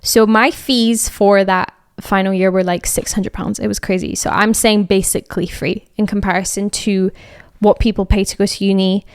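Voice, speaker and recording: A woman's voice: medium pitch, flat delivery, normal speed, clean tone, no room sound, studio quality. A young woman from Scotland with a light Edinburgh accent, hosting a podcast and giving rambling, casual advice full of fillers and restarts. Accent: Scottish, Edinburgh. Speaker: podcast host.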